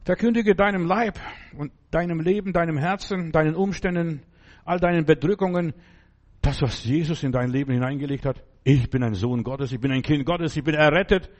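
A man's voice: a little high, fairly quick, a little mellow, muffled recording. A man whose first language is not German, preaching an exhorting sermon with emphatic lists and rhetorical questions.

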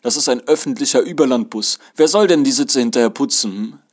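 A man's voice: medium pitch, slow, warm tone, pitched above the performer's own voice. Man acting a scolding, bellowing female bus conductor. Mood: stern, scolding.

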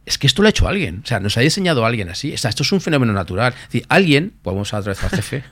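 Fast-talking man explaining eagerly. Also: mid-range voice, dry recording. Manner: fast, animated explaining with many asides. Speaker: guest, lucid dreaming expert. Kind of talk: podcast interview explanation.

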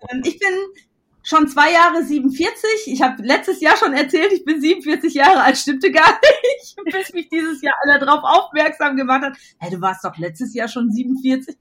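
A woman in her forties from western Germany, chatting as a podcast guest in a bubbly way, laughing often and filling pauses with "ähm". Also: sits high, expressive, a bit bright. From North Rhine-Westphalia, Germany. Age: 40s. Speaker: podcast guest, illustrator and start-up founder. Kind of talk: casual interview chat.

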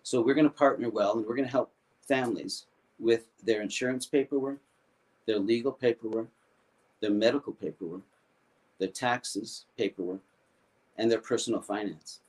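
An older American man telling a personal story in a halting way, often repeating and restarting his words. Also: mid-range voice, a little mellow, poor audio. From United States. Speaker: foundation founder.

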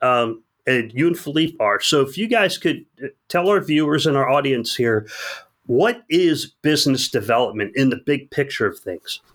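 A man's voice: mid-range voice, lively intonation, moderate pace, a bit bright. A man hosting a podcast, reading out a guest introduction and then chatting in a loose, casual way. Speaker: podcast host.

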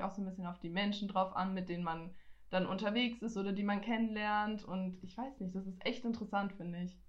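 A young woman from southern Germany in her student years, chatting casually and openly as a podcast co-host. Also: medium pitch. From Nuremberg, Franconia, Germany. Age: young adult, student age. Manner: outgoing, informal. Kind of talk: casual conversational podcast chat. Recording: dull, lo-fi podcast recording.

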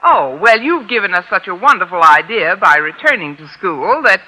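An actress playing a wry, bossy schoolteacher with arch, sugary coaxing in 1950s radio sitcom style. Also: female voice, mid-range voice, big pitch swings, normal speed, sharp tone, poor audio. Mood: mock-sweet. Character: wry schoolteacher woman, mock-sweet and bossy. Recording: old radio broadcast with background noise.

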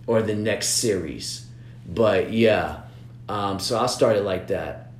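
Rambling low-voiced man in an echoey room. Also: slow, slight background noise. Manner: rambling, repeats words, frequent "you know what I'm saying". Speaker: business-minded artist partner. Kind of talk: casual planning chat.

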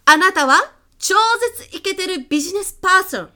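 A woman's voice: extremely high pitch, brisk, sharp tone, heavily acted. A woman playing a pushy, self-assured business coach and hypnotist in a comedic radio drama, teasing, condescending and dramatic. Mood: theatrical, hypnotic.

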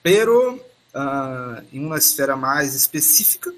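A man from Brazil with a Brazilian Portuguese accent, a guest on a podcast interview over a video call, speaking Spanish haltingly with many restarts and "ah" fillers. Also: mid-range voice, very animated, a little hiss or hum. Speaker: guest expert.

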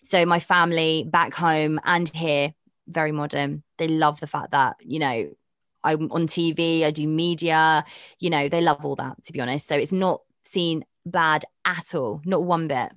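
A young woman with a notably low voice talks frankly and chattily as an interview guest, thinking out loud as she goes. The sound is dry and close, with no room around it. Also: steady tone, a little slow, no echo. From Pakistan. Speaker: presenter and dating podcast host, guest. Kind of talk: candid interview conversation.

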